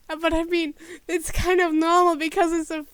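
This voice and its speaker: High-pitched, halting woman reading aloud. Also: level tone, no room sound. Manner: halting, self-correcting, muttering to herself and sounding out words. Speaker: podfic reader. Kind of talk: informal rehearsal of a read-aloud, checking pronunciations.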